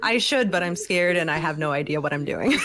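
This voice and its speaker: A woman's voice: sits low, animated, brisk, a bit bright. A woman chatting casually as a guest, talking like a gamer among friends. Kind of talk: casual chat about gaming.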